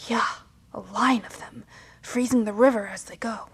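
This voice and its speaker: An actress playing a wary, resolute leader of fugitives in an audio drama, breathy, hushed and terse. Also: female voice, on the high side, normal speed, exaggerated voice. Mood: alarmed, hushed.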